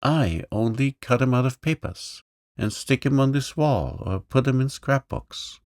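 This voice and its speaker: A man's voice: mid-range voice, lively intonation, a little slow, a little mellow, no room sound, clean studio sound, voice pushed up. A man reading aloud, voicing a meek, poor young boy who is lame and speaks in humble, plain slang. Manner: humble, plain-spoken, says "ain't"-style slang.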